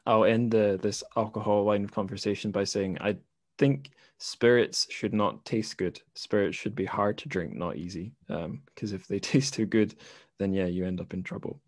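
A man's voice: lowish voice, moderate pace, dry recording, studio quality. A man hosting a podcast in casual conversation, speaking hesitantly and with self-deprecation. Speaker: podcast host. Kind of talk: casual interview chat.

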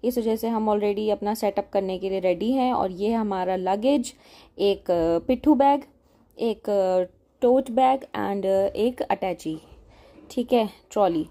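A young woman narrating her travel vlog in a casual, chatty way, with filler pauses. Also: medium pitch, mellow. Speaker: travel vlogger.

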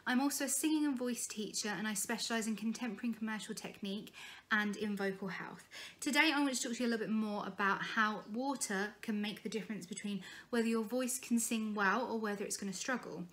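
A woman in her thirties with a British accent, a singing teacher giving an informal vocal health tutorial, her voice a little croaky after a long day of teaching, talking with her hands and repeating words for emphasis. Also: on the high side, fast, fairly bright tone. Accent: British English. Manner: chatty, explains with hand gestures, repeats words for emphasis. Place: small room indoors, sitting at a keyboard in front of a sofa.

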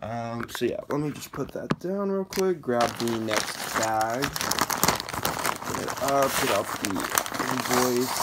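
A young man with a sore, slightly raspy throat, casually chatting through an unboxing with plenty of fillers, filmed on a phone indoors. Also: mid-range voice. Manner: rambling, many fillers, off-hand. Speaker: Lego hobby vlogger. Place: indoors, room with wooden floor. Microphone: phone camera held over the floor. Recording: noticeable background noise, package crinkling.

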